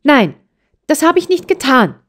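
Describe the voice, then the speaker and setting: A high, breathless little girl from a storybook, proud and stubborn, who fires back when she is accused, as a woman reading aloud puts her on. She speaks loudly and sharply, and her voice climbs well above the reader's own. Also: big pitch swings, moderate pace. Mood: upset. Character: spirited young mountain girl, proud and stubborn.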